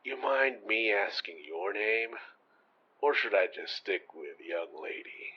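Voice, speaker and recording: An old homeless man with a low voice that is rough and husky at the edges. He is gentle and folksy, slow to get his words out, and courtly in an audio drama way. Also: lively intonation, muffled recording. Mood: gently curious. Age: older. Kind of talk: naturalistic audio drama acting.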